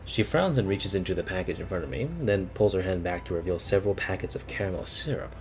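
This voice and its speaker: A man's voice: low-pitched, fast talker. A man reading a game story aloud as a first-person narrator.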